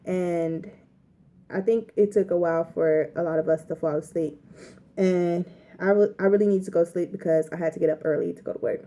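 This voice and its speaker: A young woman with a low, mellow voice recounts a story to her camera. She is chatty and rambles, filling her talk with "like" and "you know". It sounds like a casual vlog. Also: fairly even intonation, fairly quick. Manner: chatty, lots of "like" fillers, rambling retelling. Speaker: vlogger.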